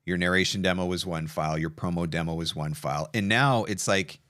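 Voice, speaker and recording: Low-voiced man hosting a webinar. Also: brisk, fairly bright tone, dry recording, studio quality. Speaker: webinar host. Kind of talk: casual interview and product pitch.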